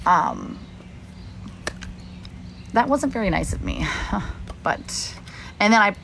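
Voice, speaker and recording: Young woman, from the US, lowish voice, casual livestream chat, rambling, swears a lot, faint outdoor noise. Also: fairly bright tone. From United States. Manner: rambling and candid, swears freely, many likes and ums. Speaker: livestream host. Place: outdoors at a beach or lake.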